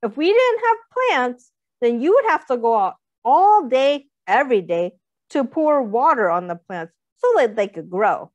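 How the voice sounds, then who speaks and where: Young woman reading slowly, singsong and animated. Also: a little high, dry recording, lo-fi sound. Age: young adult. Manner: expressive, simple explanatory asides with questions to young listeners. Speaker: teacher reading aloud to young children. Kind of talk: picture-book read-aloud for young children. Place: small indoor room, seated.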